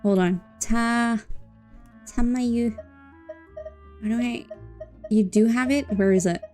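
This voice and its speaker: A woman livestreaming a game, chatting casually and playfully with expressive exclamations and silly filler words, game music behind her. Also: fairly high pitch, slow pace. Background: faint video game music.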